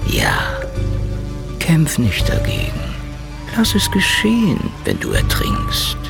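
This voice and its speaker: A battered sardonic private eye narrating a radio play, gravel voice, swings up and down a lot, loud music. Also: male voice, high-pitched, huge pitch swings, slow, exaggerated voice. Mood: dazed, fading. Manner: brooding, sardonic first-person narration. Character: hard-boiled male Soviet private detective, wry, battered, stubborn. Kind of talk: noir radio drama narration.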